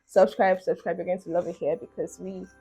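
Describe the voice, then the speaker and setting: A woman's voice: lowish voice, little pitch movement, fairly quick, music in the background. A woman in her twenties with a Nigerian accent, chatting to camera in a casual get-ready-with-me vlog, encouraging her viewers while doing her makeup. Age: 20s. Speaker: beauty vlogger. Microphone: phone camera.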